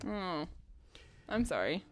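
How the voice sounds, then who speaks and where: A woman's voice: fairly low pitch, very animated, slow pace. A giggly woman co-hosting a music show, chatting casually in short reactions. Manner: giggly, breaks into laughter often. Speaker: co-host and backing singer.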